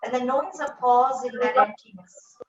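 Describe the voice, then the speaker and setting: A woman with a slightly high voice guides a breathing meditation, speaking softly and slowly with long pauses between instructions. She sounds set back from the microphone in an echoey room, and the recording is muffled and dull. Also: far from the mic. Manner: slow, soft, calm instructions with long pauses. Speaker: yoga teacher.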